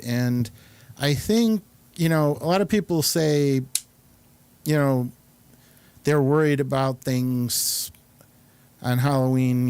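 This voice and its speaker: Man, talk show host, mellow relaxed tone, mid pitch, halting, enthusiastic rambling delivery. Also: clear, resonant tone, no room sound. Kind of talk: casual rambling round-table chat.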